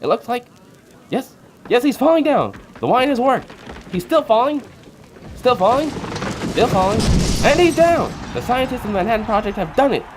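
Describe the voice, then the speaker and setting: A man playing a theatrical, excitable 1940s radio newscaster with a formal, melodramatic, breathless delivery. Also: extremely high pitch, moderate pace, long pauses, noisy background. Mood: excited, breathless. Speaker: radio news announcer.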